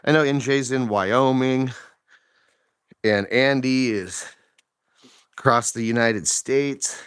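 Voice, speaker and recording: A man with a hoarse, slightly deeper voice than usual talks into his phone while walking outdoors in the morning. He rambles casually, full of fillers, and keeps asking his viewers questions. His pitch sits in the middle and the sound is dry and close. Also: slow, a bit bright, no echo. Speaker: vlogger. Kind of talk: casual talk to camera on a walk. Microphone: phone held while walking.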